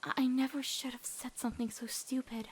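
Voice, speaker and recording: A woman voicing an anguished, guilt-ridden teenage girl in an emotional cartoon comic dub, with a raw, halting delivery. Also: high-pitched, little pitch movement, a little hiss or hum, close to an everyday voice. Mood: soft, soothing regret.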